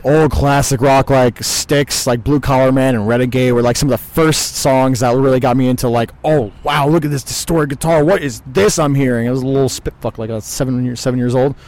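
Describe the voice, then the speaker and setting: A man's voice: medium pitch, fairly even intonation, a little fast, hardly any pauses, a little mellow. A man from New York, a band's lead singer, joking and rambling in a casual outdoor interview. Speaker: guitarist and lead vocalist. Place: outdoors in a parking lot.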